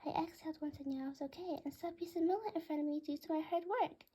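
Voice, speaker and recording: A woman's voice: sits high, fast talker, mellow. A woman reading a first-person storybook aloud as scripted narration. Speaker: author reading own book aloud.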